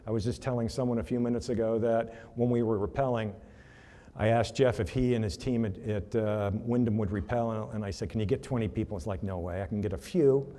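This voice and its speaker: A man's voice: on the low side, steady tone, a little fast, warm tone. A man giving a casual speech at a charity reception, telling stories over slides with fillers. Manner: casual storytelling with fillers, asks for next slide. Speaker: charity event presenter. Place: banquet hall. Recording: reverberant hall.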